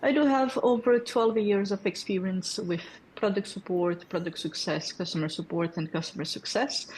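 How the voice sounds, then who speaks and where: A woman's voice: medium pitch, normal speed, darkish tone, slight background noise, rough recording. A woman in her thirties from Brazil, a native Portuguese speaker with a Brazilian accent, presenting a developer tool in a friendly, explanatory way with fillers and check-in questions. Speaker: developer community lead presenting at a hackathon. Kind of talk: technical presentation.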